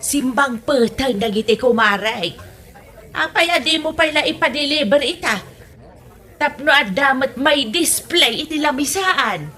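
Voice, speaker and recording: A woman playing a stingy, meddling Ilocano mother-in-law in a comedy audio drama, with an Ilocano accent and a husky voice. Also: high voice, animated, measured pace, sharp tone, exaggerated voice. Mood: scolding, stubborn.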